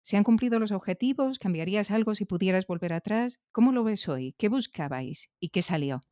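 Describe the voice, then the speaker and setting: A man's voice: sits high, expressive, brisk, a little mellow, clean tone, dry recording, clean studio sound. A man with an accent from Spain, asking questions as a podcast interviewer.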